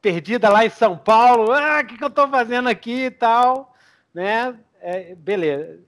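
A man's voice: very high voice, expressive, normal speed, a bit bright. A young man, running a tabletop game as game master and improvising the story as he goes, often with a chuckle.

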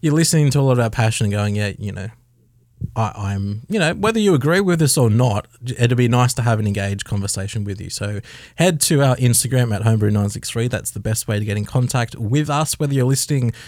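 A man's voice: mid-range voice, expressive, quick pace, darkish tone, no room sound. An Australian man co-hosting a radio show, talking conversationally and measuredly in long, winding, qualified sentences on a close studio mic. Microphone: close studio radio microphone.

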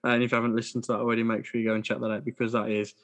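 A man's voice: medium pitch, level tone, very fast. A man hosting a casual football podcast, chatty and jokey, with lots of fillers. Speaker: podcast host.

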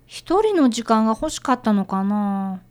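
An earnest schoolboy apprentice detective in a radio drama. The voice is high and swings animatedly. He narrates and speaks with courteous, careful formality. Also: sing-song, unhurried, darkish tone, clear, resonant tone. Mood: earnest, observant. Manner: polite, formal narration and dialogue. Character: earnest, polite young boy apprentice detective.

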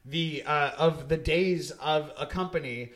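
A man's voice: fairly high pitch, a little slow, fairly bright tone. A man hosting a casual comedy podcast, rambling through stories and laughing at his own jokes. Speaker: podcast host.

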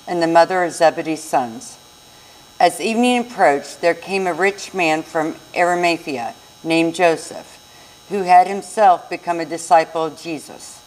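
A woman with a somewhat low voice reads scripture in a church service. She narrates the Bible story from a written text. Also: poor audio.

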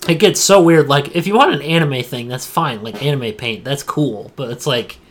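A man's voice: medium pitch, moderate pace. A man co-hosting a casual gaming podcast, talking in a slangy, blunt and scornful way. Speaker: podcast co-host.

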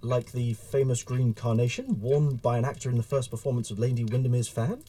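A man playing an earnest, wordy gentleman time traveler in an audio drama, with formal period speech and a rambling manner. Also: medium pitch, fairly even intonation, a little fast, lightly acted. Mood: curious, animated. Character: eager, flustered gentleman author and time traveler.

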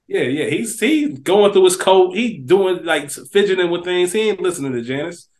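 A man co-hosting a casual podcast, making quick side points about a scene and repeating himself as he goes. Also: high voice, expressive, darkish tone. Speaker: podcast co-host. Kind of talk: casual TV recap chat.

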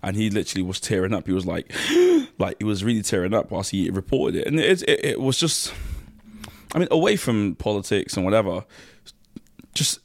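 A young man with a low voice talks through a topic in casual podcast chat. He restarts his sentences as he thinks, and his pitch jumps up when he presses a point. The sound is close and dry, with no room echo. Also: expressive, fairly quick. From Nigeria, raised in South East London. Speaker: podcast co-host.

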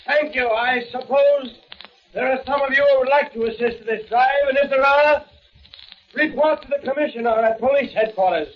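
An actor playing a middle-aged city mayor who speaks in a formal, measured way, in 1940s radio acting. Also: male voice, very high-pitched, slight background noise. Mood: solemn. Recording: muffled old radio recording.

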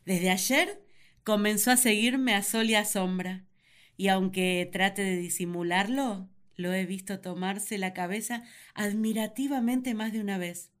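A woman's voice: mid-range voice, steady tone, measured pace, lightly acted. An actress playing an earnest, lovesick first woman who confides her feelings in a sentimental radio drama monologue. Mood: hopeful.

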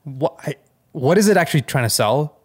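A man's voice: fairly high pitch, expressive, brisk, husky. A man co-hosting a casual tech chat, talking in a hedging way and often checking in for agreement. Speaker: podcast co-host.

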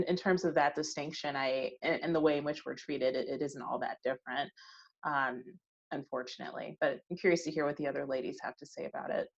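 Woman, American accent, lowish pitch, measured panel talk, somewhat muffled lo-fi recording. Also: fairly bright tone. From United States. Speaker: discussion panelist. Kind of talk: measured conversational. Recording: slightly dull lo-fi audio.

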